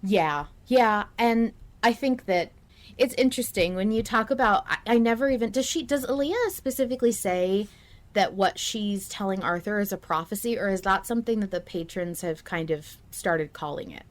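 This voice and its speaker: Female podcast co-host, mid-pitched, informal and opinionated, in relaxed discussion. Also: normal speed. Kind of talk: casual podcast discussion of a fiction show.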